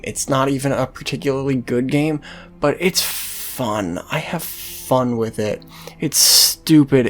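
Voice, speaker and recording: A game series host giving casual, sweary let's-play commentary in a rambling, hesitant way. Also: mid-range voice.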